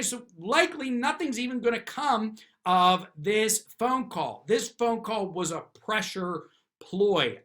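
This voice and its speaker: A man with a high, forceful voice delivers off-the-cuff legal commentary on the news. He puts questions to himself and answers them firmly, tagging his points with quick checks that the listener follows. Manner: emphatic, often tags points with "okay?". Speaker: lawyer commentator, video host. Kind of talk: unscripted opinion commentary on news.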